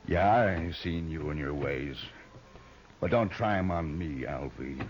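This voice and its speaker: An actor playing a menacing, bullying outlaw leader in an old-time radio western, with an American accent and folksy, taunting threats. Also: male voice, extremely low pitch, measured pace. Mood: taunting, condescending. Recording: dull, noisy old radio recording.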